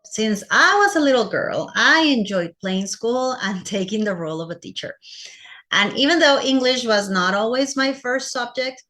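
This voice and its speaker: A woman's voice: on the high side, animated, normal speed, sharp tone. A woman from Costa Rica with a Costa Rican Spanish accent in English, speaking as a teacher giving a personal presentation to fellow teachers.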